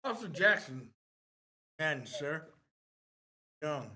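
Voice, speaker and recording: A woman's voice: sits low, big pitch swings, slow pace, bright, forceful tone, heavily acted. A woman playing an anxious street drug dealer underling at a live script table read. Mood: worried, deferential.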